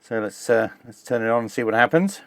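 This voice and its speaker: A man with a mid-pitched voice talks through a hands-on technical demonstration. His delivery is measured and informal, explaining as he works, like the host of a review channel. The sound is dry, with very little room in it. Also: no echo.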